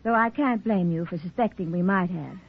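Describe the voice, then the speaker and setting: A woman's voice: lowish voice, brisk, husky. A woman playing an anxious, contrite middle-aged wife, in 1940s radio drama style. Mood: worried, tender. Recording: muffled, tinny 1940s radio recording.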